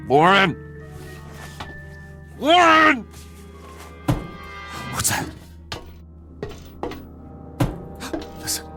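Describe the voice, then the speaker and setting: An actor playing an anxious, cautious astronaut scientist in a radio-style drama, speaking tensely and stubbornly. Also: male voice, low voice. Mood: frightened. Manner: tense, stubborn, increasingly frantic. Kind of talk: dramatic radio-play acting. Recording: music playing behind the voice.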